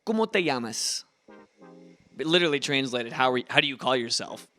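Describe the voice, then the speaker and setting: A man's voice: medium pitch, animated, a little fast, bright, forceful tone, faint music behind. A young man from Ohio with an Ohio accent, teaching a casual, encouraging video lesson in a chatty, upbeat way. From Ohio, USA. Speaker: language teacher, channel host.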